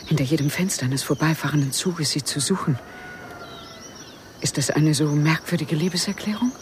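An actress playing an intense, lovesick woman in a radio drama, low and breathy, speaking in a halting, intimate way. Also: lightly acted. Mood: wistful, pleading. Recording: noisy outdoor ambience with insects.

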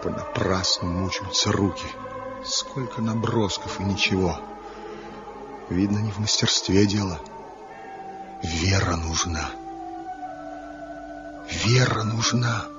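An actor playing Zhivko, a proud, envious rival woodcarver, musing slowly in a 1970s radio play. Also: male voice, sits low. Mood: brooding. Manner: brooding, slow inner monologue, proud. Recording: dull lo-fi old radio recording with faint music.

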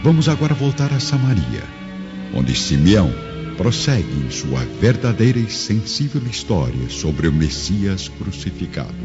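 A man's voice: sits low, sing-song, moderate pace. A man narrating a radio drama from a script in a solemn, theatrical manner. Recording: dull recording under music.

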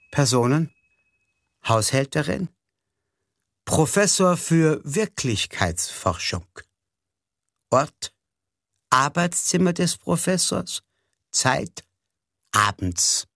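Guy announcing radio play titles, super slow, high voice, swoopy. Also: big pitch swings, gravelly, fairly bright tone, no echo, clean studio sound.

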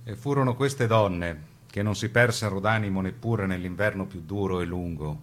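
Male narrator, lowish pitch, scripted dramatic documentary style, deliberate pauses, faint background hum.

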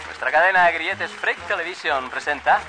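An actor playing a manic, gleefully cruel TV presenter in a satirical radio drama, theatrical and mocking. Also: male voice, a little high, fairly quick, close to an everyday voice, rough recording. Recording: loud crowd and music behind.

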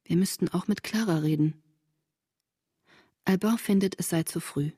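An actress playing a reflective woman reading from her wartime diary in a measured, intimate literary radio drama delivery, with a slightly husky voice. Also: on the low side, warm tone. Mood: matter-of-fact.

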